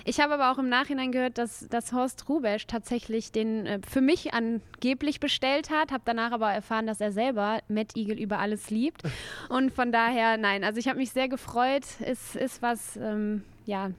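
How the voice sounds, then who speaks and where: A woman's voice: a little high, normal speed, clear, resonant tone, no echo. A woman in her thirties from Belgium, a footballer answering interview questions in an easygoing way with many fillers and light laughs. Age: 30s. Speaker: guest, footballer.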